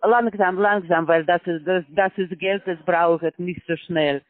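High-voiced man in broken German. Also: steady tone, dry recording. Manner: broken, halting German, patient and repetitive. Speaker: phone scammer. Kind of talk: phone call. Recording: tinny phone line.

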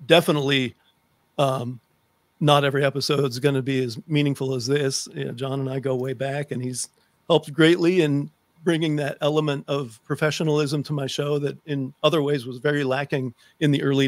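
A man's voice: medium pitch, no echo. A middle-aged man hosting a conversational interview show, speaking close to a desk microphone. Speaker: talk show host. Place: home room lined with books, indoors. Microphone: close desk microphone on a video call.

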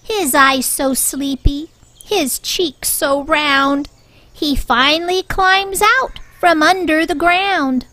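A woman reading a children's story aloud in an expressive, rhyming sing-song way, with deliberate delivery. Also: sits high. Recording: music bed under the voice.

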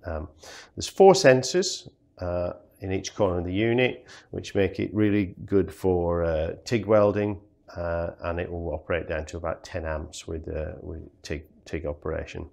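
A man's voice: deep voice, normal speed, gravelly, a little mellow. A man demonstrating welding safety equipment in a studio, explaining plainly with many ums and uhs. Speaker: product demonstrator. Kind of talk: product demonstration. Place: studio with green table, indoors.

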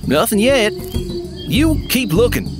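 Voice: mid-range voice, big pitch swings, takes it slowly, over music. An actor playing a concerned, helpful farm neighbor in an audio drama.

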